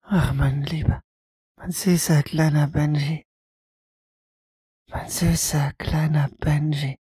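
A woman acting in an audio drama as a doting mother, softly soothing her little son. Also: deep voice, flat delivery, takes it slowly, no room sound, studio quality, heavily acted. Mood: tender, soothing. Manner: soft, breathy, doting.

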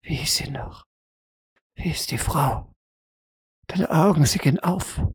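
Casting: female game master, slow atmospheric horror narration, medium pitch, dry close recording. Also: darkish tone, breathy edge, no room sound.

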